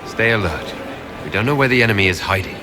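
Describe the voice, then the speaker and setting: An actor playing a stern, vigilant guard in a fantasy video game. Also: male voice, on the low side, expressive, fast, background noise, natural voice. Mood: stern, alert.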